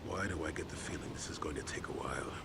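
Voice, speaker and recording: An actor playing a wary, wry soldier companion in a video game. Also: male voice, a bass voice, quick pace, creaky, very noisy. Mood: wry, ready.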